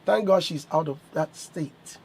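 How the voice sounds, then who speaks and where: A man's voice: on the high side, unhurried, gravelly, darkish tone, a little hiss or hum. A man hosting a morning radio show, playful, reading out listener messages to his guest. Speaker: radio host.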